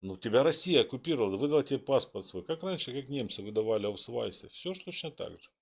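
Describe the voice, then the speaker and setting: A man's voice: mid-range voice, animated, fast, vocal fry, breathy edge. A man from Donetsk hosting a chat-roulette talk, needling and mocking the person he talks to. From Donetsk, Ukraine. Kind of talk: argumentative casual chat.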